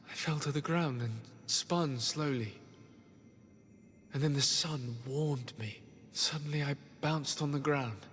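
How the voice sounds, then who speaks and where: An actor voicing a courteous, gentle young male diplomat in a video game, speaking in a formal, measured way. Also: medium pitch, big-room sound, natural voice.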